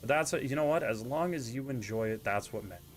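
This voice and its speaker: A man with a stuffy, congested tone that leans nasal, rambling casually as he plays. His talk is loose and self-deprecating, with a soft bed of music behind him. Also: medium pitch, animated, fast talker. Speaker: streamer. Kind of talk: casual live-stream chat while gaming.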